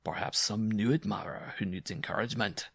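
A man's voice: fairly low pitch, a little fast, vocal fry, a bit bright, no room sound, clean studio sound. A man voicing a vain, jovial male circus rider and ladies' man, with a teasing, self-satisfied manner in a storybook reading. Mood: smug, expectant.

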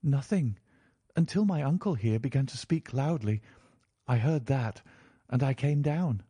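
A man voicing an earnest, gentle young lady with a polite, pleading manner in a storybook reading. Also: medium pitch, animated, unhurried, husky, no echo, pitched above the performer's own voice. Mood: polite, candid.

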